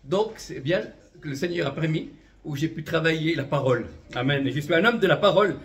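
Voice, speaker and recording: A man in his fifties, from Vietnam, tells his religious testimony as an itinerant minister. His voice sits a little high for a man, and he speaks with fervent conviction. He scatters amens and voilàs through his talk and chuckles lightly now and then. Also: brisk. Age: 50s.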